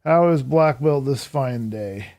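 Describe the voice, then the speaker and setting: A hoarse man chatting casually with viewers on a live stream while playing a game, answering chat aloud in a relaxed, reflective way. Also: mid-range voice, takes it slowly.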